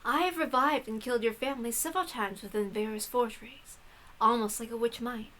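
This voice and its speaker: A woman's voice: on the high side, brisk, a bit bright, lightly acted. A woman voicing a smug, bored woman novelist who claims to be a witch, speaking formally and with condescension. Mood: cool, teasing.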